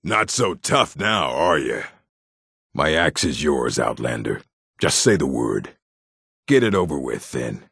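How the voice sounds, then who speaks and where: A gruff, gravelly orc warrior. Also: male voice, rumbling low, sing-song, takes it slowly, fairly bright tone, no echo, clean studio sound, exaggerated voice. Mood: challenging. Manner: blunt, gruff, challenging. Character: gruff, proud orc brawler and chief. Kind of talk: video game character acting.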